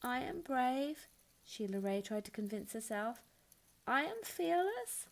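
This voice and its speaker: A bold little storybook girl, as a woman reader puts her on, speaks with swooping, highly animated pitch and an unhurried pace. She is boastful and proud, with a singsong way of declaring things. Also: gravelly, heavily acted. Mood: frightened, self-convincing.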